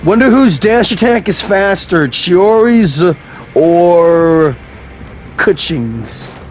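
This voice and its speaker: High-pitched, animated man commenting on gameplay. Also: drawn-out words, rough recording. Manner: playful, reacts aloud to the story. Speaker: gaming video host. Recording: faint game music behind.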